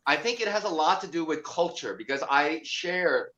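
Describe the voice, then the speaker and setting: A man speaking as a guest, working through his ideas slowly and filling pauses with "uh" and "like." His voice sits a bit high for a man. The sound is dull and lo-fi. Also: expressive, pushed and bright. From America. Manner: thoughtful, hesitant, many fillers. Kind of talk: casual interview talk.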